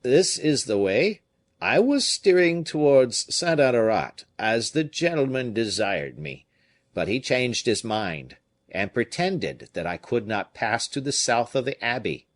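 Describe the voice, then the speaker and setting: A man voicing an excitable Provençal fisherman with a Provençal accent, telling his tale loudly and emphatically. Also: mid-range voice, unhurried, voice pushed up. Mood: agitated. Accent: Provençal French, as acted. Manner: loud, emphatic, indignant storytelling.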